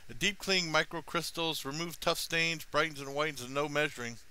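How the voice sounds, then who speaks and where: American man, middle-aged, bright crisp voice, medium pitch, casual rambling vlog delivery, headset mic. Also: fairly even intonation, brisk, low-quality recording. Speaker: product reviewer, vlogger. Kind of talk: casual rambling review to camera. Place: small room at home.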